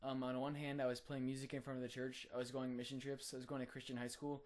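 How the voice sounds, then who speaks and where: A young man, a college student, sharing a personal faith testimony in an earnest, reflective way with frequent filler pauses. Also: mid-range voice, level tone. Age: young adult.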